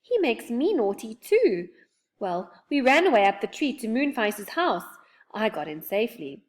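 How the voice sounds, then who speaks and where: A tearful young fairy from a storybook, sobbing out her worries. Her voice sits high and climbs and falls a lot, as a woman puts her on while reading aloud. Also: very animated, a little slow. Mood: upset. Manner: sobbing, pleading. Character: tearful young female fairy with golden hair.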